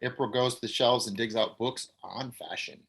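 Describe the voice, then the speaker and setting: A man's voice: medium pitch, steady tone, moderate pace, creaky, fairly bright tone, slightly rough. A man running a table read, reading out the stage directions.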